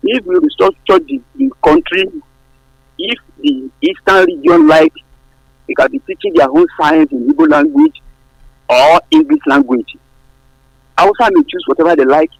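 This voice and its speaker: A Nigerian man with a Yoruba accent, whose first language is Yoruba, calling a radio show to share his opinion earnestly, his voice jumping up in pitch when he stresses a point. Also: big pitch swings, normal speed, creaky, dry recording, low-quality recording. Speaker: radio caller. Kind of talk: phone-in opinion. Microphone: phone line.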